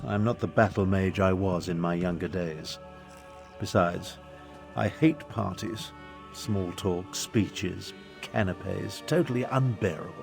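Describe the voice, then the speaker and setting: A man's voice: low voice, brisk, few pauses, music in the background. A man playing a haughty, curmudgeonly older battle mage in a fantasy game, with dry and pompous delivery. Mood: grumpy, dismissive. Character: crotchety, haughty aging human battle mage. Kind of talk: video game character dialogue.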